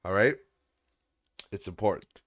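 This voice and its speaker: A man's voice: on the low side, measured pace, fairly bright tone. A young man with a nasal voice hosting a solo podcast, ranting with exasperation, profanity and lots of restarts. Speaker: podcast host.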